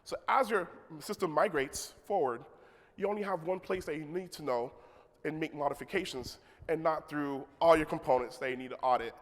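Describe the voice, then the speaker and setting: A man's voice: fairly high pitch, moderate pace. A man giving a technical conference talk in a casual manner, with joking asides to the audience. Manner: leads the crowd in a call-and-response. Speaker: conference speaker. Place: large conference hall. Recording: long hall reverb.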